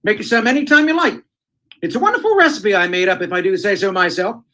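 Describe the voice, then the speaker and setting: A man's voice: sits high, quick pace, sharp tone. A man playing a boastful, cheerful husband with a folksy manner in an old-time radio comedy.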